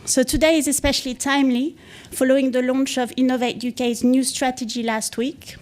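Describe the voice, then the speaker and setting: A woman giving measured, scripted opening remarks as the host of a conference. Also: high-pitched, flat delivery, a little mellow. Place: conference venue.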